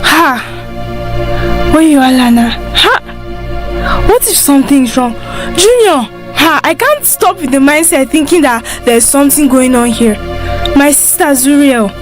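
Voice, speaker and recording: A young Nigerian woman in a radio drama, frets over her missing sister. Her voice is high, emotional and full of exclamations. Faint music runs underneath. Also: expressive, measured pace, long pauses. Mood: alarmed.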